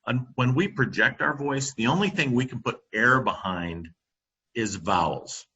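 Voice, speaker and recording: A middle-aged man giving a presentation over a computer microphone, halting often with ums and restarts. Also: medium pitch, expressive, pushed and bright, slightly rough, highs cut off. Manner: halting, many fillers and restarts. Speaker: company presenter on classroom audio. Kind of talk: webinar presentation.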